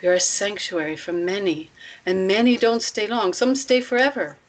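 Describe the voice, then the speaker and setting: A woman acting a composed, persuasive protector of runaway women in a script read, speaking in a deliberate, measured way. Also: mid-range voice, close to an everyday voice, low-quality recording. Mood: earnest, persuasive. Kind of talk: script table read, acted dialogue.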